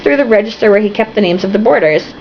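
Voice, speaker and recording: A woman's voice: medium pitch, expressive, fast talker, lo-fi sound, slight background noise. Tipsy young woman reading aloud. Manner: swearing, giggling asides. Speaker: reader. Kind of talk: reading a novel aloud with comments.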